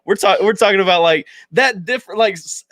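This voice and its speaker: Animated man chatting about music. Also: sits high, a little fast, no echo. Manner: animated, filler-heavy, lots of "like". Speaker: podcast host. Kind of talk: casual music chat.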